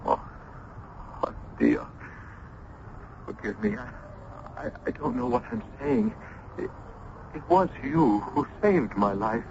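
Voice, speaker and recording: Tormented young lover, wildly swinging pitch. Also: male voice, wildly swooping pitch, takes it slowly, creaky, pauses often, darkish tone, exaggerated voice. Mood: remorseful. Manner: melodramatic, intense. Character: passionate young male student, tormented lover, bitter and accusing. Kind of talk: old-time radio drama acting. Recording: noisy, muffled old radio broadcast.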